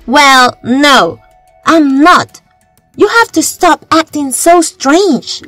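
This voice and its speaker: A performer plays a cute, irritated superhero girl for a game roleplay. The voice is extremely high and animated, scolding slowly and whinily in a dry recording. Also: dry recording, faint music behind, heavily acted. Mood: annoyed.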